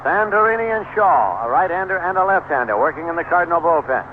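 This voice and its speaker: A man calling baseball play-by-play on the radio in measured, descriptive short phrases. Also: fairly high pitch, expressive. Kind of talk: live radio sports commentary. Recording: noisy, tinny vintage 1970s AM radio broadcast.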